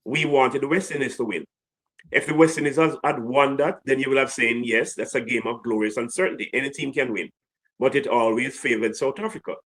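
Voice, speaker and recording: Caribbean man, mid voice, picks through his points slow with lots of ums. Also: medium pitch, fairly bright tone. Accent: Caribbean English. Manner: halting, many fillers and restarts. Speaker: co-host analyst. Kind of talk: measured sports analysis.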